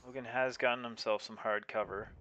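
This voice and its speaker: A man commentating live on an esports tank match as streamer, with a halting delivery that repeats words. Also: mid-range voice, steady tone, bright, forceful tone, slight background noise.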